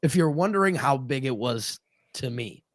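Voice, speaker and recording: A man's voice: medium pitch, lively intonation, normal speed, no echo, studio quality. A man in his twenties co-hosting a casual wrestling stream, talking animatedly, swearing and repeating words. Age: 20s. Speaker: co-host. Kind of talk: casual live-stream chat.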